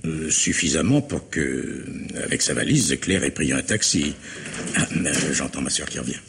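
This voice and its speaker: An actor playing an anxious, defensive middle-aged factory owner in a French radio mystery, speaking formally with agitated hesitation in a husky voice. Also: male voice, a bass voice, lively intonation, moderate pace, fairly bright tone, a little hiss or hum, heavily acted. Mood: hesitant, explaining.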